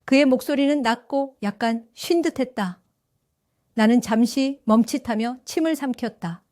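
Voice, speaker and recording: An older woman tells her story in a calm, reflective first-person narration. Her voice sits fairly high, and the recording is close and completely dry. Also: normal speed, dry recording. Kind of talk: scripted first-person audio drama narration.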